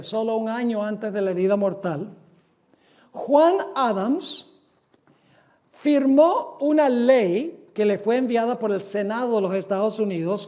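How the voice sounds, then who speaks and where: A man's voice: high-pitched, darkish tone. A man from the United States, a pastor giving a sermon lecture, emphatic and asking rhetorical questions.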